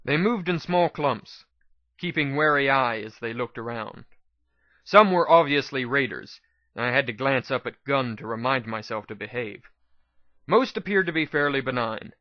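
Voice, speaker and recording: A man's voice: mid-range voice, normal speed, no echo. Male storyteller on a dry, dullish recording. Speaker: narrator. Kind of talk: dramatic first-person fan-fiction reading. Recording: slightly dull, dry lo-fi recording.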